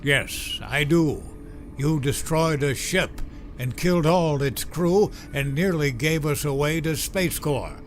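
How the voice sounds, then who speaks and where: A man's voice: fairly high pitch, slow, soft background music. A man playing a stern, pompous wolf father and pack leader with theatrical delivery in a sci-fi audio drama.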